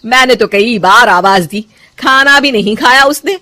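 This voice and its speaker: A woman's voice: on the high side, a little slow, bright, forceful tone, pitched above the performer's own voice. A woman playing an older mother-in-law in a cartoon story, bossy yet affectionate, homely and exclamatory. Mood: worried.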